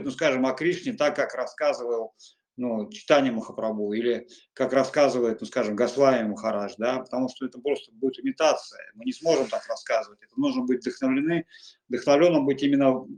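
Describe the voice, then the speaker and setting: Man, middle-aged monk, informal spiritual talk, halting speech, many fillers and restarts. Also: medium pitch, darkish tone. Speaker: monk giving spiritual guidance.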